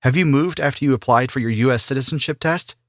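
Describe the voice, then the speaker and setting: A man playing an immigration officer who asks formal, steady questions in a scripted practice interview. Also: medium pitch, fast talker, no room sound, clean studio sound, natural voice. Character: male immigration officer, businesslike and neutral. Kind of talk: scripted role-play interview.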